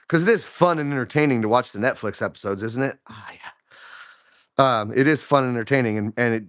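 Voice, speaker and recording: Hoarse, chatty man on a morning walk. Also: mid-range voice, a bit bright, no room sound, studio quality. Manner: rambling, chatty, filler-heavy, asks viewers questions. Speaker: vlogger. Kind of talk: casual talk to camera on a walk. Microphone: phone held while walking.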